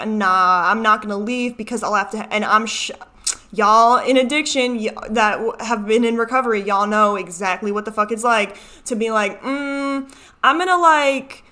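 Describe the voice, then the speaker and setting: A woman's voice: on the high side, normal speed, bright, forceful tone. A young woman with a Chicago American accent, giving casual reaction commentary in a rambling, self-interrupting way. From Chicago, United States. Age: young adult. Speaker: video host. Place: small furnished room at home. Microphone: desk microphone, seen at frame edge.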